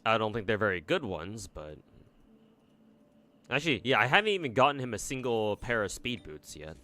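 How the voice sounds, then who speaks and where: Offhand American man streaming, low voice. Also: big pitch swings, moderate pace, pushed and bright. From United States. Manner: rambling and offhand, with frequent swearing and restarts. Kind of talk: casual livestream chat while gaming.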